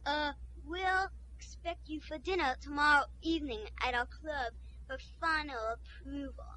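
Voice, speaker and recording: A woman's voice: high-pitched, moderate pace, sharp tone, rough recording. A woman voicing a snooty society lady with a put-on posh English accent, haughty and prim, who turns crude when angry. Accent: put-on posh English, then dropped. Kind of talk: comedy sketch acting.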